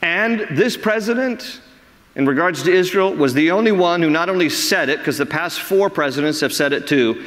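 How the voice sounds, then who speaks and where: A man's voice: on the high side, lively intonation, normal speed, a bit bright. A man preaching an emphatic sermon as pastor, reading quotes aloud from the pulpit. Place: large church sanctuary. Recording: long hall reverb.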